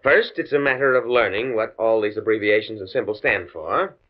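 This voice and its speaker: A man's voice: fairly low pitch, brisk, lightly acted. An actor playing an earnest, naive, pedantic male university scientist in a stuffy, precise 1950s radio drama style. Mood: confident, eager. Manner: precise, stuffy, stammers when flustered. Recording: muffled vintage 1950s radio recording.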